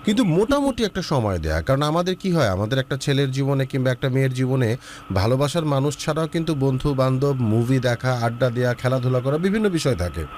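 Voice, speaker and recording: A man's voice: medium pitch, quick pace, a little hiss or hum. A man hosting a relationship advice show, talking with a young caller and mixing in English words. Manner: lively, advising, mixes in English words.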